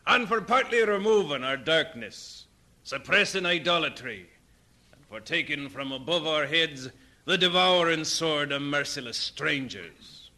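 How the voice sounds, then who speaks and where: An actor playing a fervent, stern Scottish reformer, preaching in declamatory radio drama oratory. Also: male voice, fairly high pitch, expressive, unhurried, sharp tone, full character voice. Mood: fervent, solemn.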